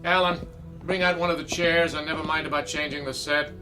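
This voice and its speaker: An actor in a radio drama playing a brisk male theater director who gives instructions with authority. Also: fairly high pitch, steady tone, music in the background, lightly acted, rough recording. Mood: brisk, impatient.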